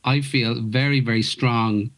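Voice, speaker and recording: Earnest Irish man, halting restarts. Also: medium pitch, steady tone, fairly bright tone. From Ireland. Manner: hesitant, many restarts, earnest. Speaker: actor. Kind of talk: radio interview guest.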